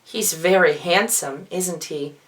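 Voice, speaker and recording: A woman's voice: lowish voice, takes it slowly, rough recording. A woman voicing a dreamy, impulsive, lovestruck young mermaid princess for a storybook reading. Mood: dreamy, earnest.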